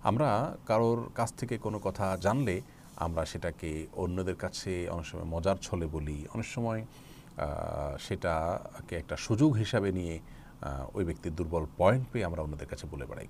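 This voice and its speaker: A man gives a religious question-and-answer lecture in a very low voice with a gravelly creak. His delivery is formal and explanatory, measured out with hesitant pauses. Noticeable noise sits behind him. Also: expressive, a little mellow.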